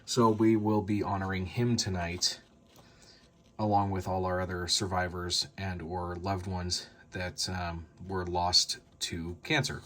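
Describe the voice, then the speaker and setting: A man's voice: low-pitched, fairly bright tone. A man talking casually to his vlog audience, explaining things plainly. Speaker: vlogger and sports announcer.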